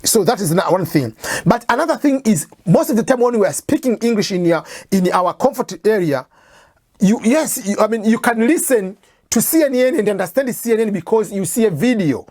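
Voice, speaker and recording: A woman's voice: mid-range voice, animated, brisk, a little mellow, dry recording, studio quality. A woman from East Africa with an East African accent, a native Swahili speaker, gives an informal lecture on English test preparation and keeps restating her points with examples. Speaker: English test-prep teacher.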